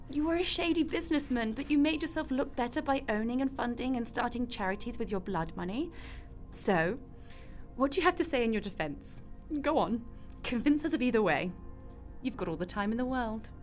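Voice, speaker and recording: A female God played by a woman in a radio drama, speaking in a rather high voice. She is glib and chatty, explaining the afterlife like a matter-of-fact manager. Music plays faintly underneath. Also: lively intonation, moderate pace, lightly acted. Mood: flippant, exasperated.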